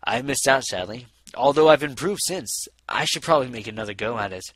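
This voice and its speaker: A boastful male pony charmer, as a man acts him out, with a bright, forceful voice. He is smooth-talking, self-important and eager, a storybook would-be ladies' man, heard close and dry. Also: medium pitch, expressive, a little fast, dry recording.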